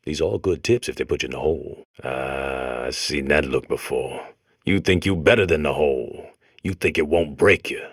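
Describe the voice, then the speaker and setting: A man's voice: deep voice, dry recording, studio quality. An American man voicing a comic game robot that talks like a grizzled old convict, lecturing in folksy, tough prison slang. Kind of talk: comic game character monologue.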